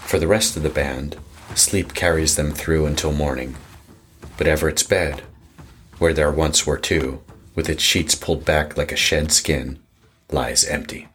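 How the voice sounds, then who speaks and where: A man's voice: steady tone, a little slow, fairly bright tone, a little hiss or hum. A man narrating a scripted horror audio drama in a grave, ominous manner. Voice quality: husky, very deep.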